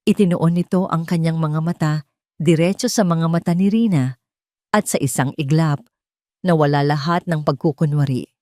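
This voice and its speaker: A woman narrator tells a scripted romance drama. Her voice is low and mellow, and the recording is dry with no room sound. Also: steady tone, normal speed, no room sound.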